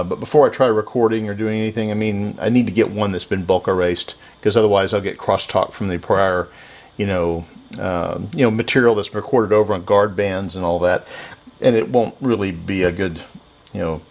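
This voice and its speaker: Low, gravelly man thinking aloud. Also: steady tone. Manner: halting, thinking aloud while working. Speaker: repair video host. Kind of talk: casual unscripted hands-on repair narration.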